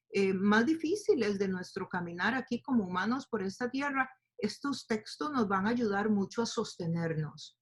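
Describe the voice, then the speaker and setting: A woman teaching a Bible study to a group, speaking warmly and explaining with fillers and questions. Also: on the high side, breathy edge. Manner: speaks to a group, asks them to open their Bibles, many fillers like "eh" and "este". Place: lives in the United States.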